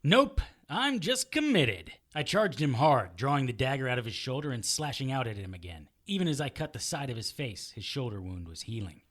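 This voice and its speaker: A man's voice: medium pitch, big pitch swings, brisk, few pauses, a bit bright. A man playing a tough, sardonic fighter who tells his battles in first person in a noir audio drama. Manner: sardonic, gritty. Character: tough, wry immortal male fighter narrating his own battles.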